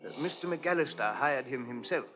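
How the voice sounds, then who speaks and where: An actor playing a polite, hesitant and servile butler in a 1940s radio drama. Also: male voice, medium pitch, expressive, moderate pace, close to an everyday voice. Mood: earnest, deferential. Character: deferential, hesitant household butler. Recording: muffled old radio broadcast.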